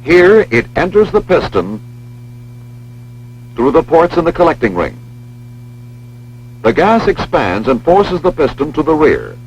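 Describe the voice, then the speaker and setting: An American man reading scripted instructional narration for a training film in a measured, precise, formal manner. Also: fairly high pitch, huge pitch swings. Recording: dull lo-fi film soundtrack, humming noise.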